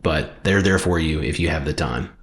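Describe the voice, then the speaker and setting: A man's voice: very deep voice, moderate pace, roomy sound. A man with a Southern US accent, hosting his channel and giving earnest, emphatic reaction commentary. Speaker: channel host reacting to a video.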